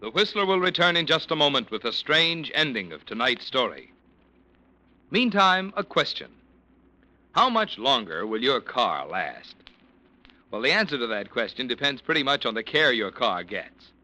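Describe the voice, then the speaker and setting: Man, radio announcer, lively varied pitch, bright voice, vintage ad read. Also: moderate pace, rough recording. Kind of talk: old radio commercial.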